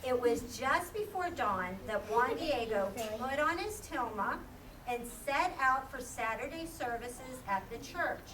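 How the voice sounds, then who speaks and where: A woman's voice: sits high, expressive, a little slow, a bit bright. A woman narrating a scripted story for a children's puppet show. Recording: echoey room, distant mic, background noise.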